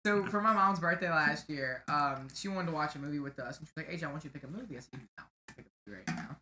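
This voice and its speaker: A quick-talking man with a bright, sharp tone tells rambling stories on a casual podcast. His voice bounces around an echoey room with a faint hiss of noise underneath. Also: on the high side. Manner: rambling storyteller, lively retellings. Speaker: podcast co-host. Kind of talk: casual chat.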